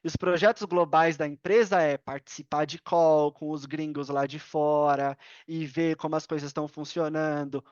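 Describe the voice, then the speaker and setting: A man's voice: fairly high pitch, moderate pace, few pauses, clear, resonant tone, dry recording. A Brazilian man telling personal stories as a podcast guest, informal and slangy, acting out bits of dialogue. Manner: informal, slangy, animated retelling with acted-out dialogue. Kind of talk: casual personal storytelling. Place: indoors at a computer on a video call. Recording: slightly dull, dry online-call audio.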